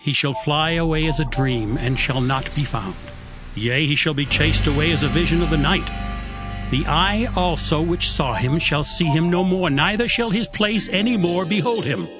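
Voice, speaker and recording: A man's voice: a little high, normal speed, music in the background, close to an everyday voice. An actor playing a stern, indignant man who condemns the wicked in a declamatory, admonishing scripture drama. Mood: indignant warning.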